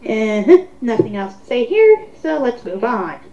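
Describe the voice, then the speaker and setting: A woman's voice: on the high side, big pitch swings, unhurried, mellow. A woman reading online comments aloud in a sarcastic, theatrical way, with mocking commentary. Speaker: host of a dramatic reading. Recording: roomy, muffled home recording.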